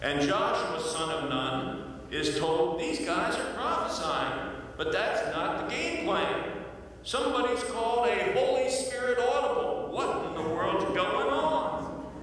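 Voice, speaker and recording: An older male pastor preaches at an unhurried pace, telling his story with playful asides and lively quoted voices. His voice rings through a large church sanctuary, picked up from a distance with a long, roomy echo and a faint hiss in the background. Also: high voice. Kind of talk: sermon. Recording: echoey hall from far off.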